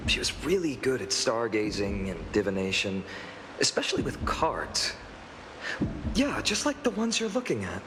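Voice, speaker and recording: A man voicing a gentle, thoughtful young hero in a video game, speaking sincerely and reflectively. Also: on the low side, very animated, moderate pace. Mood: wistful. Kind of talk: English video game voice acting. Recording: long reverb, clear background noise.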